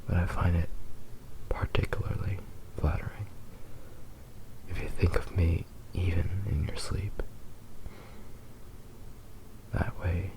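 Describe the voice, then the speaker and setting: A man playing a possessive, doting fox-boy husband in an ASMR roleplay, murmuring intimately and haltingly. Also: low-pitched, flat delivery, low-quality recording. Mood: adoring, soft.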